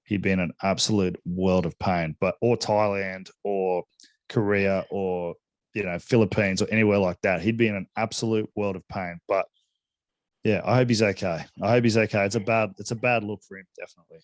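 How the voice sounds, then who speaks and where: A man with a fairly low voice talks casually as co-host of a sports podcast. He is measured and tends to understatement, hedging as he goes. The recording is dry and close. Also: fairly even intonation, no echo. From Hong Kong.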